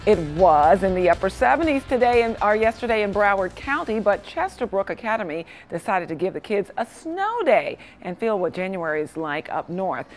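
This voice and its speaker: A woman presenting weather conversationally on a morning television news show. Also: medium pitch.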